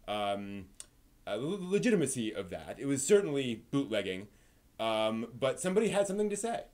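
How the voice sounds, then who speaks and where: A man hosting a live stream in a casual, improvised way, thinking aloud with halting phrasing. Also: mid-range voice.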